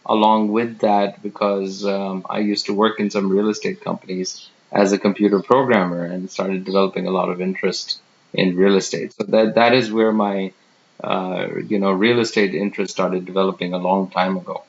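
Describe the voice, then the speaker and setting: A man in his fifties from India introducing himself as a real estate professional, talking unhurriedly with many fillers and pauses. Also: low-pitched, flat delivery. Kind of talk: self-introduction monologue. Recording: dull lo-fi sound.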